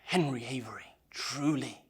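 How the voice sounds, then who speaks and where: A man playing an embittered, defiant pirate mutineer in a theatrical monologue, brooding and swinging between hushed menace and impassioned outbursts. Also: mid-range voice, a little slow, breathy edge. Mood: taunting, fervent. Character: embittered, defiant English pirate mutineer, a former pressed sailor.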